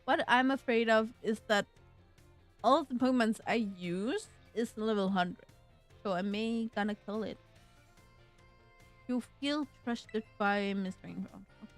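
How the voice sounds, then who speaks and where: A woman streaming a video game who chats casually and reads the on-screen text aloud, slowly and haltingly, sometimes tripping over words. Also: fairly high pitch. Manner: slow, halting, trips over words while reading. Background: faint game music.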